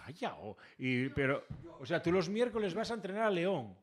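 A man's voice: a little high, big pitch swings. Chatty man hosting a radio chat. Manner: chatty, jokey, asks quick follow-up questions. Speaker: radio host. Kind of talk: casual radio interview.

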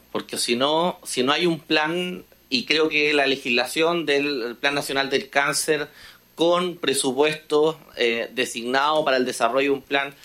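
A middle-aged man with a Chilean accent giving formal health-policy remarks, measured and hesitant, with frequent fillers. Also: medium pitch, pushed and bright. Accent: Chilean Spanish. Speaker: health official speaking at a session.

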